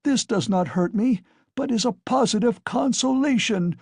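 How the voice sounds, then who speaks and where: A man's voice: very high voice, moderate pace, vocal fry, husky, no echo, studio quality, voice pushed up, heavily acted. A man voicing a meek, submissive drunken husband pleading abjectly.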